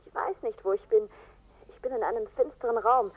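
A terrified young woman, breathy and husky, pleading down a tinny phone line. Her voice sits a little high and sounds thin and distant through the receiver. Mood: frightened. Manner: breathless, scared, halting. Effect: telephone filter. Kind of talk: 1960s radio drama acting.

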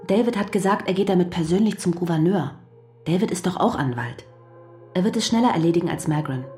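An actress voices a devoted wife visiting her husband in prison. Her voice sits low and mellow, earnest and anxious, and faint music runs beneath it. This is radio drama. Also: animated, quick pace, natural voice. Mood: concerned. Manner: earnest, anxious, pressing questions.

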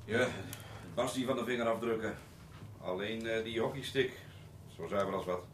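Droll forensic technician played by a man, radio drama, lowish voice, laconic, with clearly audible background noise. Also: moderate pace, close to an everyday voice. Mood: matter-of-fact. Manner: dry, matter-of-fact. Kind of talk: Dutch radio drama acting.

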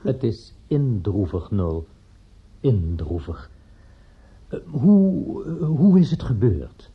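An actor in a radio play playing a solemn, pious older gentleman who consoles in an earnest, sermonising way. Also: mid-range voice, sing-song, takes it slowly, breathy edge, exaggerated voice. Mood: sorrowful. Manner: earnest, sermonising, hesitant when upset. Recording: dull lo-fi radio play recording.

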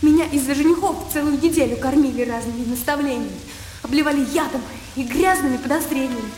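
A woman acting a spirited, blunt young country gentlewoman in a radio drama, lively, impulsive and mocking. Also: high-pitched, quick pace, a little hiss or hum, natural voice. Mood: irritated, defiant. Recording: echoey studio reverb, music underneath.

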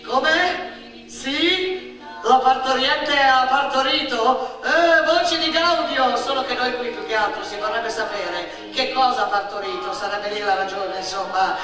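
A bossy female hospital telephonist, played by a woman on stage. She is loud, high and bright, officious and exclamatory with a blunt edge. The room echoes heavily around her. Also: recorded from a distance. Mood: officious, impatient.

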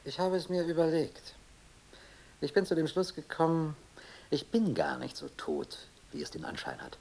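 An actor playing a cold, pedantic, philosophizing officer in a German radio play, speaking in a precise, sardonic, oratorical manner. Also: male voice, fairly high pitch, huge pitch swings, normal speed, darkish tone. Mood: cold, reasoning.

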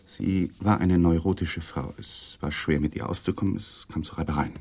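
Radio play: defensive widower, low husky voice with a lively swing, clipped and evasive. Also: male voice, moderate pace, heavily acted. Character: tense, guarded male husband of a dead wife. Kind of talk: 1980s German radio drama acting.

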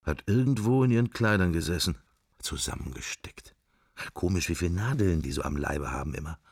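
A brooding middle-aged man lost in furtive, sensual thoughts for a radio play. His very low voice is husky and full of creak. He muses in murmured fragments, drifting from one thought to the next. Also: lively intonation, brisk, dry recording, studio quality, exaggerated voice. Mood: wry, calculating. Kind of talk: radio drama interior monologue.

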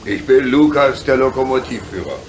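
A man's voice: mid-range voice, expressive, natural voice. A man playing an easygoing, reassuring adult locomotive driver in a children's radio play. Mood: friendly. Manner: easygoing, avuncular, hesitant pauses. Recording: noisy background with hiss.

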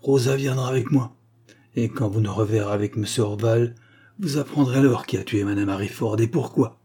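A man reading the part of a spirited young woman in a story, firm, energetic and defiant. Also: medium pitch, brisk, husky, natural voice. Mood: calm, resolute. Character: spirited, bold young woman defending the innocent.

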